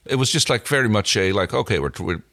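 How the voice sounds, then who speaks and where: A man's voice: fairly low pitch, lively intonation, fast, gravelly, fairly bright tone, no echo, clean studio sound. A man co-hosting a podcast, chatting casually about a TV episode and thinking aloud as he goes. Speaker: podcast co-host. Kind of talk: casual chat recapping a TV episode.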